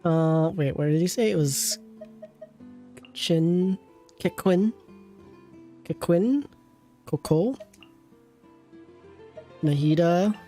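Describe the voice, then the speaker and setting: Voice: mid-range voice, drawn-out words, long pauses, warm tone. Rambling, teasing game streamer over music. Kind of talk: casual livestream game commentary.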